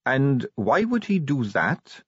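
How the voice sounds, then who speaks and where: A man's voice: on the high side, big pitch swings, normal speed, fairly bright tone, slightly rough, dry recording, muffled recording, pitched above the performer's own voice, full character voice. A man voicing an earnest, by-the-book watch captain in a fantasy audiobook, speaking politely, carefully and formally.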